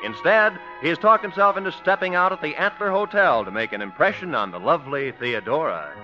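A man's voice: a little high, expressive, a little fast, faint music behind. A man with an American accent announcing and narrating in scripted 1950s network radio style. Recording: muffled vintage radio broadcast.

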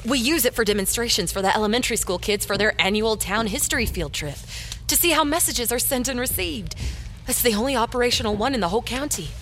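A woman's voice: on the high side, lively intonation, fast talker, fairly bright tone, slight background noise. A woman playing a caring, practical small-town librarian in an audio drama, helpful and coaxing. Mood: concerned, eager. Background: music under the dialogue.

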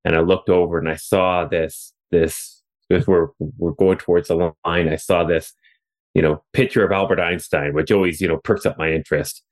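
Brief: Canadian male podcast host, very low voice, chatty, lots of "you know". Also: steady tone, normal speed. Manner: chatty, loose, many fillers and restarts. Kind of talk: conversational interview. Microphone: remote call.

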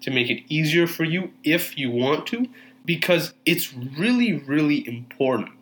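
A man's voice: fairly high pitch, lively intonation, measured pace, a bit bright, slight background noise. A young man, Urdu-speaking family background, delivering an earnest monologue that urges listeners to act, with frequent fillers and restarts. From Canada.

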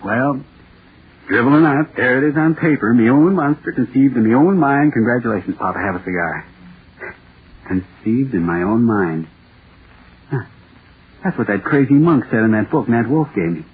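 An actor playing a haunted horror playwright who stammers and repeats himself, in 1930s radio drama style. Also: male voice, mid-range voice, expressive, moderate pace, long pauses, husky, phone-quality sound. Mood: musing, self-mocking. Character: terrified radio playwright haunted by his own monster. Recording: scratchy, noisy 1930s radio recording.

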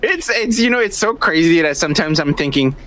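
A man's voice: a little high, lively intonation, a little fast, bright, forceful tone. A man co-hosting a podcast, chatting casually and laughing often at the jokes. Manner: laughs often, goofy banter. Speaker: podcast co-host.

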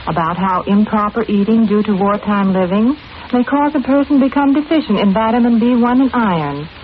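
An actress playing a knowing, advising friend in a 1940s radio commercial. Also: female voice, medium pitch, a little fast, close to an everyday voice. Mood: earnest, advising. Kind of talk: 1940s radio advertisement acting. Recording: muffled, hissy old radio recording.

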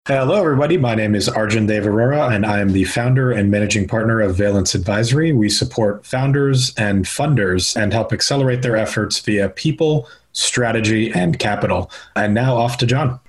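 A man's voice: lowish voice, fairly quick, hardly any pauses, breathy edge. A man hosting a podcast, giving a formal, scripted introduction. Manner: formal, announcer-like delivery. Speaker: podcast host.

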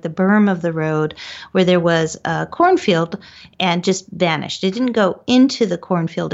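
Female interview guest telling first-hand stories, fairly low pitch, slightly dulled recording. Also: lo-fi sound. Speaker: interview guest, paranormal researcher. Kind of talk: recounting personal paranormal experiences.

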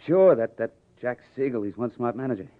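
Actor as evasive young boxer, muffled old radio. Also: male voice, mid-range voice, lively intonation, brisk, lightly acted. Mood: uneasy, evasive. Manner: hesitant, plain-spoken, defensive. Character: young male prizefighter, evasive, earnest, defensive. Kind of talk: 1950s radio crime drama acting. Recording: muffled vintage radio recording.

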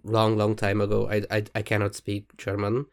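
A Hungarian man co-hosting a podcast in casual chat, speaking English as a second language with a Hungarian accent and often pausing to search for words. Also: on the low side, completely flat delivery. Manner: thoughtful, restarts sentences while searching for words. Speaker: podcast co-host.

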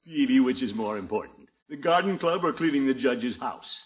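An actor playing a pompous, scheming middle-aged small-town commissioner in 1950s radio comedy, blustering and self-important. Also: male voice, mid-range voice, lively intonation, normal speed, husky. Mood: indignant. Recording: muffled vintage 1950s radio recording.

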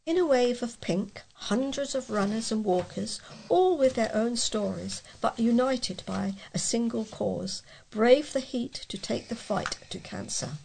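A woman reading local news aloud as a volunteer newsreader, with a husky, creaky voice. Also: fairly high pitch, measured pace, few pauses, a little mellow, a little hiss or hum, poor audio.

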